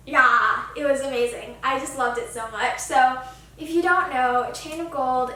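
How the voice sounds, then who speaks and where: A woman's voice: sits high, fairly bright tone. A woman chatting casually and emphatically to her viewers as a gushing book vlogger. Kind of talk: casual chatty vlog to camera. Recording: very echoey room, distant mic, faint noise.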